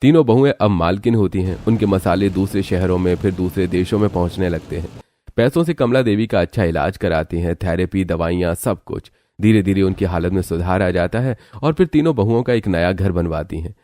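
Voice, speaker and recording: A man narrates a scripted story in a low, dark-toned voice. The recording is close and dry, with the delivery of a storyteller. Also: brisk, no room sound.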